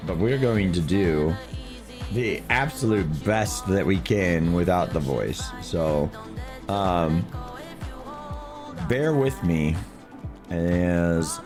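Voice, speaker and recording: A man streaming a driving game with a hoarse, unusually deep voice from losing it, talking quietly and chatting with viewers. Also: animated, drawn-out words, pauses often, over music. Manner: talks quietly to spare his voice; chats to viewers, chuckles at himself. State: hoarse, lost voice. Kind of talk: casual livestream commentary while playing.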